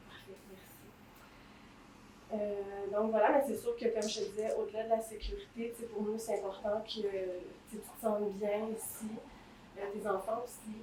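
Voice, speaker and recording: A woman with a Québécois accent playing a shelter intake worker in a role-play, talking gently and reassuringly with many fillers. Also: mid-range voice, close to an everyday voice. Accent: Québécois French. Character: patient female shelter counselor, kind and reassuring. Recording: roomy, distant mic, noisy.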